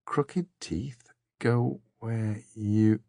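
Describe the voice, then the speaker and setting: Man voicing a musing young noblewoman, raised pitch. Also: very animated, takes it slowly, husky, no echo, clean studio sound, full character voice. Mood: puzzled. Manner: musing, tentative. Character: young noblewoman, thoughtful, puzzling out riddles.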